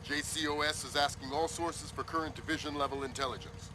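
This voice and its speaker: An actor playing a serious intelligence chief briefing his agent with measured authority and urgency in a video game. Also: on the high side, steady tone, noisy background, close to an everyday voice. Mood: grave, urgent.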